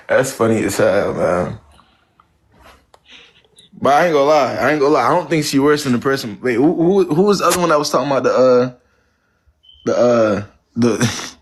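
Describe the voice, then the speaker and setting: A man's voice: mid-range voice, animated, moderate pace, pauses often. Blunt, profane man lecturing his viewers. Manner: blunt, repetitive, preachy and profane. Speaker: live stream host giving dating advice. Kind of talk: casual live stream advice.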